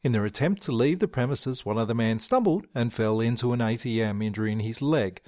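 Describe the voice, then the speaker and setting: A man's voice: medium pitch, a little fast, darkish tone, voice pushed down, natural voice. A man playing a formal, matter-of-fact Australian radio newsreader within an audio story. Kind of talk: radio news bulletin inside a story.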